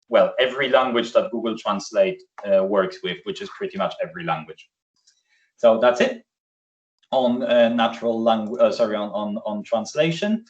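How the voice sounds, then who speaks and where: A man whose first language is Polish, presenting a live software demo on a video call in a chatty way with many fillers. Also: mid-range voice. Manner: chatty, filler-heavy, self-correcting. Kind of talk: live screen-share demo. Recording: distant, muffled video call audio.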